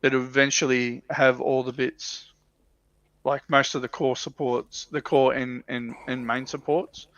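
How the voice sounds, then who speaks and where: Male hobby panellist, gravelly edge, hesitant and repetitive, casual, on a slightly muffled mic. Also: mid-range voice, little pitch movement, a bit bright, no echo. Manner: halting, repeats phrases as he thinks aloud. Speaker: livestream panellist on a tabletop wargaming show. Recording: dull, muffled audio.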